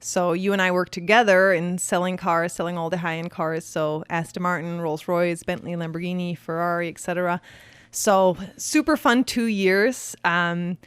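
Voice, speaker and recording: A woman's voice: lowish voice, steady tone, moderate pace, smooth, no room sound. A woman from Denmark with a Danish accent, chatting casually as a podcast guest with many fillers and easy laughs. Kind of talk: casual chat.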